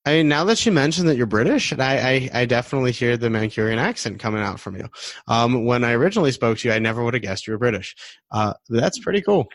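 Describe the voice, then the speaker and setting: A man's voice: medium pitch, lively intonation, fast talker, dry recording. A man hosting a podcast in casual, effusive interview chat, often repeating himself. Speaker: podcast host.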